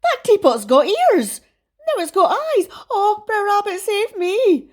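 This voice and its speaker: A woman voicing an excitable, quarrelsome, easily frightened male fox in a storybook, exclaiming indignantly. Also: very high-pitched, a little slow, pitched above the performer's own voice, heavily acted. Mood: panicked.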